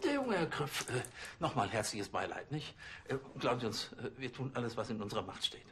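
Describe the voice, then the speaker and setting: A man's voice: mid-range voice, sing-song, brisk, fairly bright tone, a little hiss or hum. A man playing a flustered, self-important police station chief, pompous and hesitant, in a comic crime drama. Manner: pompous, hesitant with filler words.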